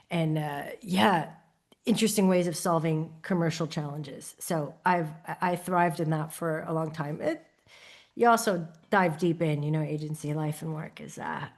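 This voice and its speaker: New York woman, lively storyteller. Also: lowish voice. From New York City. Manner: expressive storyteller, lively rises and laughs. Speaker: podcast guest, creative studio founder. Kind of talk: conversational interview. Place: lives in Copenhagen.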